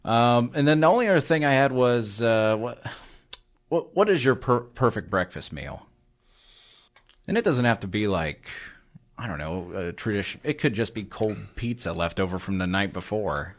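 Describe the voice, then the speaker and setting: Hesitant man hosting, low voice. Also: expressive, moderate pace. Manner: hesitant, lots of fillers. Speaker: host. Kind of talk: casual interview.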